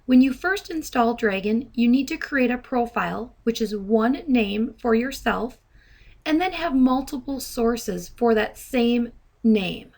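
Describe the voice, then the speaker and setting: High-pitched woman giving tutorial narration. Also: expressive, a little slow, gravelly, darkish tone. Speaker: software trainer, presenter. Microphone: handheld dictation microphone.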